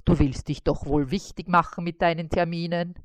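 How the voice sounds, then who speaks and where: A woman voicing a grumpy, lazy male spider who grumbles slowly and wearily. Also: fairly low pitch, fairly even intonation, dry recording, natural voice. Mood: gruff. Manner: grumbling, slow and weary.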